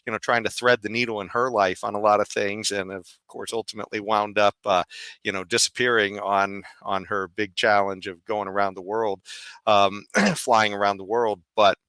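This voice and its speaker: A hoarse man hosting a podcast panel, talking in a rambling way with many fillers. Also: on the low side, level tone, moderate pace, sharp tone, dry recording. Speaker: podcast host. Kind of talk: casual panel chat.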